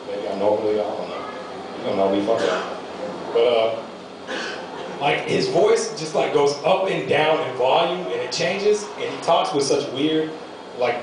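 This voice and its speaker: A man's voice: mid-range voice, noisy background. Young man doing stand-up in echoey room. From United States. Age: young adult. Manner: loose rambling delivery with fillers. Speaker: amateur stand-up comic. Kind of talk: stand-up set. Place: small comedy venue. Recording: echoey room, mic far off.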